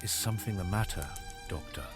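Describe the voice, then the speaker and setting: A man playing an old, courteous wizard headmaster who gently probes, in an audio drama. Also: deep voice, a little fast, music in the background, close to an everyday voice. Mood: courteous, probing.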